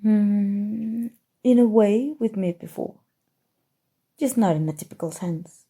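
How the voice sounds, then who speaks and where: Woman as a husky, dark-voiced smug sorcerer. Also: medium pitch. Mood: sly, measured. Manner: soft, teasing, deliberate, drifting into whispers. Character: smug, coolly menacing, teasing evil sorcerer kidnapper. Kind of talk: ASMR roleplay, scripted character monologue.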